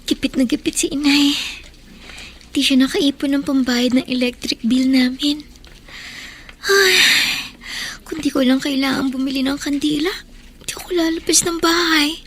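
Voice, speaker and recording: A woman's voice: high voice, fairly even intonation, moderate pace, long pauses, slight background noise, close to an everyday voice. A young woman acting an anxious student thinking aloud in a Filipino radio drama. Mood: worried grumbling.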